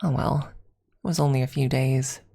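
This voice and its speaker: Woman, deep register, heavy creak, scripted first-person narration, ASMR storyteller. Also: steady tone, measured pace, dark tone. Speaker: streamer and story reader.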